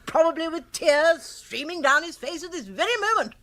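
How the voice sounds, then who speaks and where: An actress playing a brisk, bossy, teasing upper-class English aunt in a radio comedy, with a forthright, emphatic delivery. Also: female voice, very high-pitched, animated, background noise. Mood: teasing, gossipy. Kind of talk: radio comedy drama acting.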